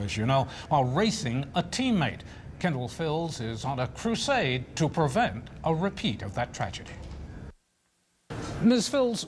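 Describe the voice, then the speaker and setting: Lively male American news anchor. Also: medium pitch, slight background noise. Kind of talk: scripted TV news reading.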